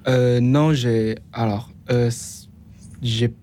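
A young man with a foreign accent, a non-native French speaker, gives halting answers as a student guest in an interview. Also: mid-range voice, fairly even intonation, warm tone, a little hiss or hum. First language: not French, learned it after moving to France. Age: young adult.

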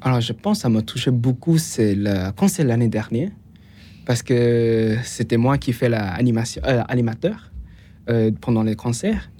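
Young adult male, foreign accent, non-native speaker, relaxed mellow tone, halting interview answers. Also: mid-range voice. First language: not French, learned it after moving to France. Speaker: student interview guest.

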